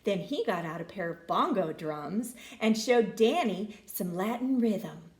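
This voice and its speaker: Female storybook reader: theatrical, very animated intonation, unhurried pace, roomy recording. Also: mid-range voice. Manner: expressive, theatrical. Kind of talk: dramatic children's storybook narration.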